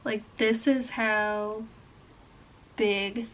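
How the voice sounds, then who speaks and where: A woman's voice: mid-range voice, steady tone, extremely slow, slight background noise. A young woman talking casually while she crochets, thinking aloud with lots of "like" and "um", her voice a bit stuffy from a bad cold. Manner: chatty, thinking aloud, frequent "like" and "um". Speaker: crochet vlogger. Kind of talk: casual explaining while crafting.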